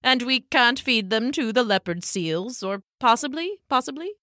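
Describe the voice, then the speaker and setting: A bumbling old gentleman penguin from a children's storybook, acted out by a woman. He talks with pompous, earnest alliteration and trips over his own ideas. The delivery is bright and animated. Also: a little high, moderate pace, dry recording, studio quality. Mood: sheepish.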